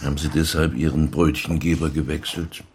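An actor playing a probing police inspector in a radio crime drama, measured, formal and insinuating as he questions. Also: very deep voice, flat delivery, a little mellow, a little hiss or hum. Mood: probing, suspicious. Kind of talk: naturalistic German radio play interrogation.

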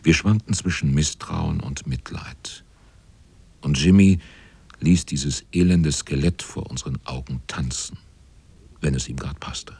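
An actor playing a sailor who narrates a radio drama in a grave, measured, brooding literary manner. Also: male voice, very deep voice, vocal fry, dark tone, husky, no room sound, heavily acted. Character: brooding sailor narrator looking back on the crew.

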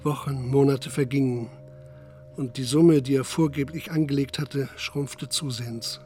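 Sober male narrator, medium pitch. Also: fairly even intonation, a little slow, darkish tone, soft background music. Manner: sober, detached storytelling. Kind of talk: scripted literary narration in radio drama.

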